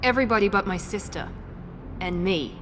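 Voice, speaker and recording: An actress playing a self-assured, guarded operative and protective sister in a video game, with a cool, commanding manner. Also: female voice, mid-range voice, moderate pace, background noise, close to an everyday voice. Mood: cold defiance. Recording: long reverb, music behind.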